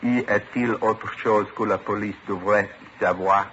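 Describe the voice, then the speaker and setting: A man's voice: on the low side, fairly even intonation, normal speed, fairly bright tone, lightly acted. An actor playing a helpful, concerned husband who interprets for his wife in an old radio drama, plain and explanatory. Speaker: witness's husband, interpreting between French and English. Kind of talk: 1950s radio drama dialogue. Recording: muffled old radio recording, slight hiss.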